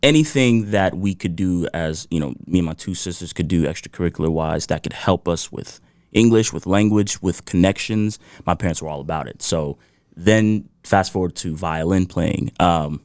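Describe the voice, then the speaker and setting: A man from Ghana speaks as a guest, with a very low voice. He tells his stories easily, laughing often and filling gaps with casual asides. The sound is close and dry, with no room around it. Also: normal speed, darkish tone, no room sound. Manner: easygoing, laughs often, leans on "you know". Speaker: guest musician. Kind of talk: casual interview storytelling.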